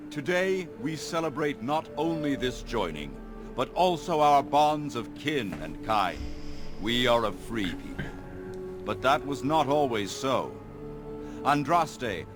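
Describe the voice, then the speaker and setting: An actor playing a dignified old village elder in a video game, speaking in a formal, stately, oratorical manner. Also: male voice, mid-range voice, close to an everyday voice. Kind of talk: video game dialogue acting.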